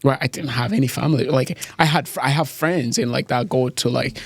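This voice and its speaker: A young man from Tanzania, a podcast guest talking casually, full of restarts and quick laughs. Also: mid-range voice, breathy edge, dry recording. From East Africa, born in Tanzania. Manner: halting, many restarts and "like", laughs easily. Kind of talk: casual interview chat.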